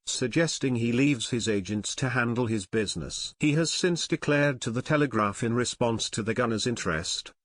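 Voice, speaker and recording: A man's voice: mid-range voice, fairly bright tone, no room sound. Man reading scripted football news, dry sound. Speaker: sports news narrator.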